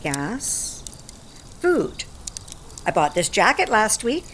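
Blunt aging American worker, lively intonation. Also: female voice, medium pitch, takes it slowly, a little hiss or hum. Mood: matter-of-fact. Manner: salty, plainspoken, folksy. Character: blunt, aging warehouse worker living in a van. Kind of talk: naturalistic audio drama acting.

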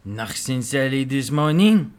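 A woman's voice: extremely low pitch, lively intonation, unhurried, darkish tone, pitched below the performer's own voice. An earnest toy doll from a children's storybook, acted out by a woman reader. The character sounds helpful and sincere, eager to share what it knows. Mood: curious.

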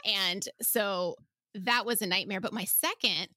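A woman's voice: mid-range voice, a little slow, bright, forceful tone, dry recording, clean studio sound. A woman hosting an upbeat podcast interview, chatty and enthusiastic. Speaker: podcast host.

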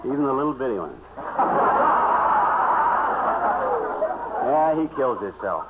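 An actor playing a boastful, bumbling American husband in an old radio comedy, talking in a rambling, folksy way. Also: male voice, medium pitch, big pitch swings, fast, lightly acted. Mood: excited. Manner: folksy, rambling, tongue-twisting wordplay. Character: boastful, excitable husband and bumbling amateur duck hunter. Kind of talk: 1930s-40s radio comedy acting. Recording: muffled, noisy old-time radio recording.

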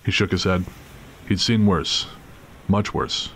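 A man's voice: low-pitched, fairly even intonation, takes it slowly, a bit bright, a little hiss or hum. A man narrating a scripted audio drama as storyteller.

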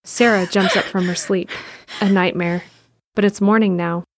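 Measured woman narrator. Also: mid-range voice. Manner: calm, measured, descriptive. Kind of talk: scripted narration.

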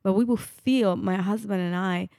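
Woman, Italian accent, middle-aged, gravelly, dark, emotional storytelling. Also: mid-range voice, normal speed, no echo, studio quality. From Italy. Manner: emotional, personal storytelling with warm affirmations. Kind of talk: casual faith podcast chat.

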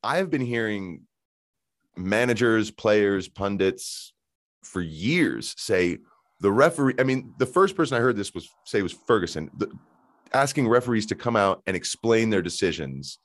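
A man hosting a casual football podcast, joking and animated, with frequent restarts. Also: lowish voice, measured pace, no echo. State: hoarse, voice shot in intro. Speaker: podcast host.

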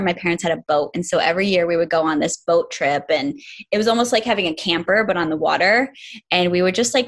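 A woman in her forties from upstate New York, a yoga and self-love teacher, talking reflectively as an interview guest with many "like" fillers. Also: on the low side. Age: 40s. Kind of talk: casual interview chat.

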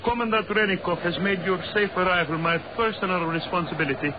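Fawning, fearful underling sergeant , played by a man, high-pitched, stammering, on muffled vintage radio. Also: fast, natural voice. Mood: servile. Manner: obsequious, stammering, pleading. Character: cringing, servile Russian sergeant, drunken and fearful. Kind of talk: 1940s radio horror acting. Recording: dull old radio recording, heavy noise.

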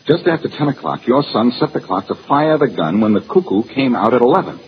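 An American actor playing a brash, wisecracking detective in a 1940s radio drama, punchy and hard-boiled. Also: male voice, mid-range voice, very animated, fairly quick, lightly acted. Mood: excited, confident. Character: brash, wisecracking amateur detective, tough and confident. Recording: muffled, noisy old radio recording.

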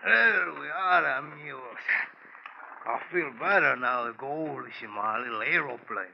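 An actor playing a gleeful, mockingly polite Mexican bandit chief in 1940s radio style, taunting and menacing, with a Mexican accent. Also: male voice, medium pitch, gravelly. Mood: mock friendly. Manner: taunting, slow, theatrical laughing villain. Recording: dull, noisy 1940s radio recording.

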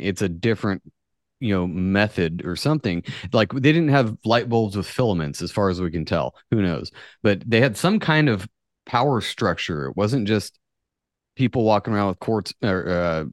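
A man's voice: lowish voice, no echo, clean studio sound. A man hosting a podcast in casual chat, informal and slangy, swearing offhandedly. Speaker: podcast host.

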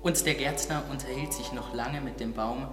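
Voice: mid-range voice, fairly even intonation, moderate pace. A narrator reading a scripted storybook tale for an audio drama. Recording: echoey, long reverb, under loud music. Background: loud music.

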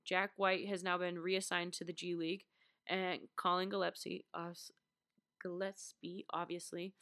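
A woman's voice: fairly low pitch, flat delivery, moderate pace, fairly bright tone, no echo, clean studio sound. A young adult woman with a stuffed-up, congested voice, chatting casually as a basketball podcast host, with lots of "like" and laughs. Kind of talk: casual chat.

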